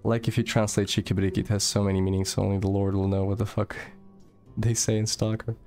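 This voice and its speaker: A young man from Moldova speaks in a low, gravelly voice. He chats loosely on a live stream, swearing freely and reading out viewer comments. Faint game music plays behind him. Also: flat delivery, fast, darkish tone. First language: Russian. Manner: chatty, loose, swears casually, reads chat aloud. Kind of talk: casual live-stream chat while gaming.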